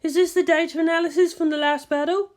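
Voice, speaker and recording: A cool senior woman scientist acted by a female reader, high in pitch and measured in delivery. She lectures dryly, like a world-weary anime mentor guiding her junior. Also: fairly even intonation, a little mellow. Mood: dry chiding. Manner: measured, didactic, dry. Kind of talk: anime fan fiction character voice.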